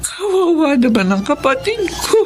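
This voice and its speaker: A woman's voice: sits high, sing-song, moderate pace. A Filipino woman acting in a radio drama as a kind, emotional middle-aged mother, tender and dramatic. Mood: tearful. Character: emotional kind mother reunited with long-lost brother.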